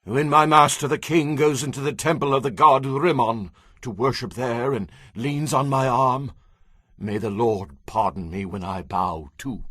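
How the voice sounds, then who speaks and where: Bright, forceful proud commander. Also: male voice, mid-range voice, measured pace, dry recording, clean studio sound. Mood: earnest, humbled. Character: proud, indignant military commander turned earnest believer. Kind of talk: dramatized audio Bible acting.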